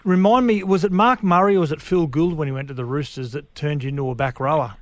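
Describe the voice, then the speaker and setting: An Australian man co-hosts sports radio from a home studio, chatty and teasing, with plenty of fillers. His voice sits slightly high. The sound is dry and close. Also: fast talker, no room sound.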